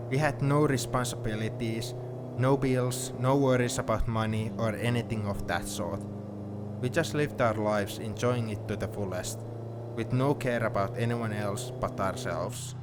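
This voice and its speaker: A man playing a young, sentimental and gently teasing best friend in an intimate audio roleplay, speaking in a conversational, reflective way. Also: fairly low pitch, natural voice. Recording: steady car noise behind the voice. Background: car ambience.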